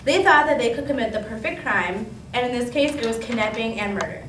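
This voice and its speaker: An earnest female 1920s reporter, played by a woman, with a fairly high voice. She reads her scripted report plainly. The recording is echoey and a bit noisy, with the mic at a distance. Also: lively intonation, a little fast, lightly acted. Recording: echoey room, distant mic, background noise.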